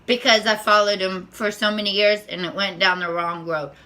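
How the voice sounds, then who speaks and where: A woman speaking as a spiritual tarot vlogger, with a squeaky voice, talking in a nervous, halting way full of restarts and repeated words. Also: steady tone, bright, forceful tone, poor audio. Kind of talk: unscripted spiritual monologue to camera.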